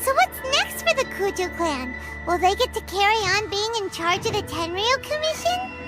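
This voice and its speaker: A small, cheerful fairy companion from an anime game. The voice is childlike and extremely high, swinging widely in pitch with eager, chirpy chatter. Loud music plays behind it. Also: female voice, very animated, moderate pace. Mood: curious. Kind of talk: anime video game voice acting.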